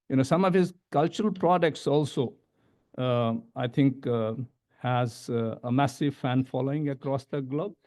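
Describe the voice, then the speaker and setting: A middle-aged man with an Indian accent giving a panel presentation as an academic, halting and repeating words as he stresses each new point. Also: medium pitch, a little mellow. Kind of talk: panel presentation, semi-scripted lecture. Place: medium-sized indoor conference room. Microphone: gooseneck table microphone.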